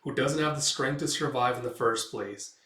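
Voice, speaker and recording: A man's voice: mid-range voice, normal speed, bright, forceful tone, close to an everyday voice. A man playing a vengeful male swordsman who talks in a terse, contemptuous way. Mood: cold, bitter. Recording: roomy, distant microphone.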